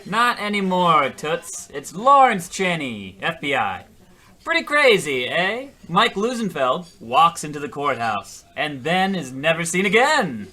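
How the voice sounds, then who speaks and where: An actor playing a self-dramatizing, attention-hungry loser in a comic radio play, rambling and melodramatic. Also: male voice, fairly high pitch, big pitch swings, unhurried, pushed and bright, heavily acted. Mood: hammy, eager. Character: attention-hungry, self-dramatizing male loser telling his story in a diner. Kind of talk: comic radio play acting in old radio show vein.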